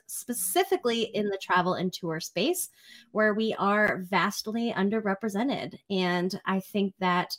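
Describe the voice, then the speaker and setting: An upbeat woman hosts a podcast with open enthusiasm. Her pitch is middle height. She talks casually and warmly excited, with easy fillers. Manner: enthusiastic, upbeat, uses fillers like you know. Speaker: podcast host. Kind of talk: casual interview hosting.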